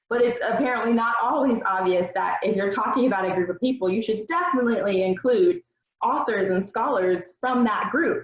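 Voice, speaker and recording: Young woman giving a seminar talk, lots of ums, dull roomy recording. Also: mid-range voice. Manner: earnest, measured, frequent "um" fillers. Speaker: research presenter. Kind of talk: seminar presentation.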